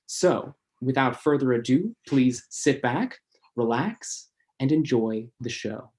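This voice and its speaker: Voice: medium pitch, animated, slow pace, a little mellow. Theater stream host, mid-range voice, scripted welcome to an audience online. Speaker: host introducing a live show. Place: online video-call stream.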